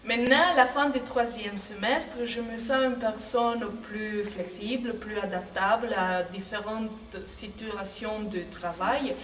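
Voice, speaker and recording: A young woman student is interviewed for a documentary. She speaks carefully and fills her pauses with hesitant sounds. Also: mid-range voice, steady tone, a bit bright. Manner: careful, with many hesitant fillers. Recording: roomy sound, mic at a distance.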